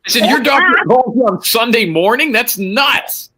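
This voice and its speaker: A man's voice: extremely high pitch, animated, moderate pace, a crowd in the background. A man in casual livestream chat, telling stories in a teasing, prodding way and quoting other people. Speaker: livestream show participant.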